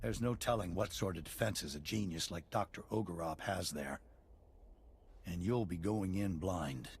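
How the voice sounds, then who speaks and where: Serious briefing leader, dry, lowish, matter-of-fact, sci-fi game. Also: male voice, normal speed, vocal fry, slightly rough, heavily acted. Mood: grave. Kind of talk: game cutscene acting.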